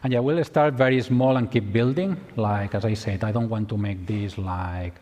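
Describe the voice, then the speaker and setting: A man's voice: fairly low pitch, moderate pace, darkish tone. Spanish-accented man in an echoing hall. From Spain. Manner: hesitant, many restarts and repeated words. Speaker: tutorial presenter. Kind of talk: conference tutorial. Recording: long hall reverb.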